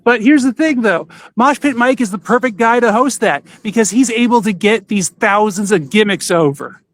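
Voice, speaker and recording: Guy hosting a podcast, pretty high pitched voice, just chatting casual. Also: lively intonation, normal speed, fairly bright tone, dry recording. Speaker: podcast host.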